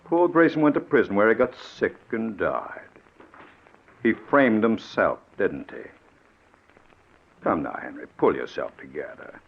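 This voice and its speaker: An actor in an old radio suspense play playing a bitter, vengeful ex-convict, speaking with sardonic, deliberate menace. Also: male voice, on the low side, big pitch swings, creaky, husky, exaggerated voice. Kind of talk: 1940s radio suspense acting. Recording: muffled old radio recording.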